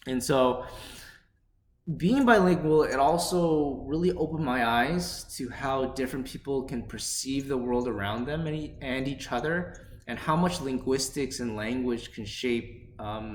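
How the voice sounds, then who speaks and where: Young man, mid-pitched, hesitant and reflective, plenty of fillers, echoey room, faint rumble. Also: unhurried. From Korean family, raised in an English-speaking country. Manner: hesitant, many fillers and restarts. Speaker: speaker telling his own language story. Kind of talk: reflective personal monologue.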